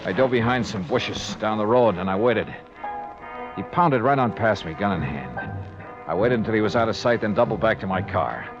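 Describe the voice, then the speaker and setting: Hard-boiled radio detective, muffled vintage sound. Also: male voice, lowish voice, animated, normal speed. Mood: tense narration. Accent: American. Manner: clipped, sardonic, tough-talking. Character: hard-boiled male insurance investigator, wry and insistent. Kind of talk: 1950s radio detective drama acting and narration. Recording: dull vintage radio recording, music behind.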